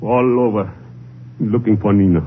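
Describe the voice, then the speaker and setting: An actor playing a hot-tempered, evasive brother and boxing manager, halting and defensive, in 1950s radio drama style. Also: male voice, lowish voice, steady tone, slightly rough. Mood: agitated, defensive. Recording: muffled, noisy old radio recording.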